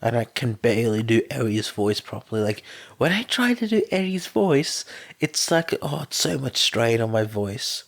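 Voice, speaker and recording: A man with a hoarse, sore voice reading fan fiction aloud as a podfic narrator, then chatting casually with his listeners. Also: medium pitch, normal speed. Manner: plain, steady reading with a strained, sore voice. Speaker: podfic reader.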